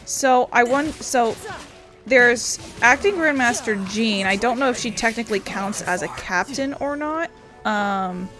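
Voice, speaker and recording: A woman giving casual video game playthrough commentary, rambling and thinking aloud. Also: on the high side. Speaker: gaming streamer. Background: game music.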